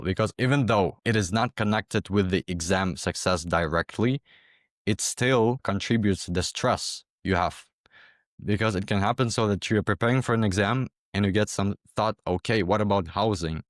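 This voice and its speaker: Low-voiced young man from Ukraine. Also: dry recording, clean studio sound. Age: young adult. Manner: hesitant, repeats words, many fillers. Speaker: interview guest, former exam candidate. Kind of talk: casual interview talk.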